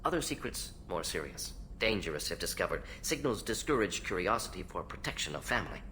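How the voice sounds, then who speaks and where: An actor playing an analytical alien scientist in a video game, talking in clipped, clinical fragments with dropped pronouns. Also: male voice, deep voice, big pitch swings, fairly quick, sharp tone, slight background noise, heavily acted. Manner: clipped telegraphic phrases, clinical, omits pronouns. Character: fast-talking, analytical alien scientist, proud and ageing uncle. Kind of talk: video game dialogue acting.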